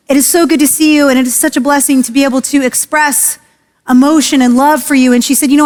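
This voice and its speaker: A woman pastor preaching a sermon, earnest and emphatic, slowing and lowering her voice on key phrases. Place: church sanctuary. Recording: long hall reverb.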